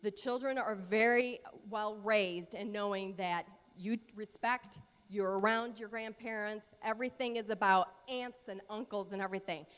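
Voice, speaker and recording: A woman's voice: medium pitch, steady tone, normal speed, a bit bright, clear, resonant tone. An American woman giving an informal educational talk at a church service, chatty and rambling with many fillers and asides. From United States. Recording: long reverb of a large hall.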